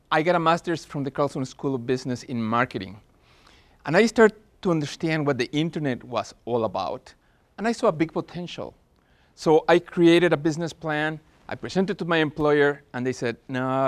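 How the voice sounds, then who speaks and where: Earnest accented man giving a workshop talk. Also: on the high side. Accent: Spanish-accented English. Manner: earnest, with frequent fillers and restarts. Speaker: workshop presenter. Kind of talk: business workshop presentation.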